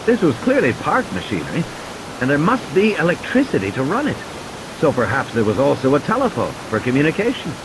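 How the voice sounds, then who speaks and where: A man's voice: fairly high pitch, huge pitch swings, brisk, noisy background. A man reading a scripted adventure story as a dramatic audiobook narrator. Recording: music and sound effects behind the voice. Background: music and background sound effects.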